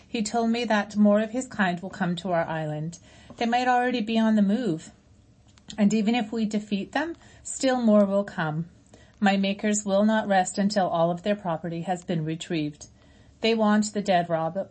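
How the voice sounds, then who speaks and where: A woman reading a gentle, wounded female robot in a storybook, measured and earnest in manner. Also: mid-range voice, lightly acted. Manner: measured, earnest, plainly spoken. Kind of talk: audiobook character reading.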